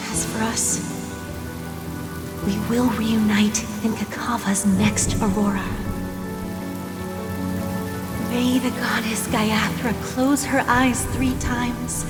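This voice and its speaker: A solemn, protective older sister played by a woman, with a fairly high voice and ceremonial phrasing. It is dramatic game dialogue, drenched in reverb over loud music. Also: natural voice. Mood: tender, solemn. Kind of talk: dramatic video game acting. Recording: echoing reverb, loud music, rain behind.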